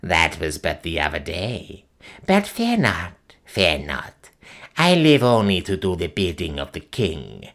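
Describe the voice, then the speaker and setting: Give me a man playing a shrivelled, sneering old witch, slow and piping, with a wildly swinging pitch. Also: wildly swooping pitch, a bit bright, heavily acted. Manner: piping, gloating, slow archaic speech. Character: ancient wicked female witch, shrivelled and cackling. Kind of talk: audiobook character voice.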